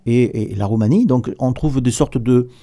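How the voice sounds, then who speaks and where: A middle-aged man with a southern French accent, a company director giving an animated, hesitant radio studio interview with repeated words. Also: medium pitch, moderate pace. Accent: southern French, Provençal. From southern France. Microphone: table mic with headphones.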